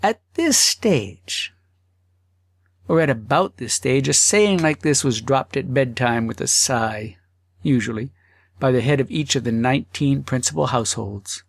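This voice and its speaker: A man narrating a humorous literary story as an audiobook, phrasing it deliberately and expressively with pauses. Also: mid-range voice, lively intonation, gravelly, no room sound, studio quality.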